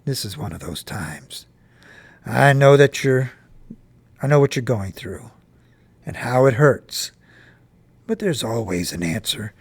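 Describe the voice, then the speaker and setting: A solemn, steady father, given voice by a man reading aloud, who speaks in grave, measured sentences. The voice is gravelly and sits lower than the reader's own. It sounds like a storybook reading. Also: full character voice. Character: steady, solemn father giving firm advice.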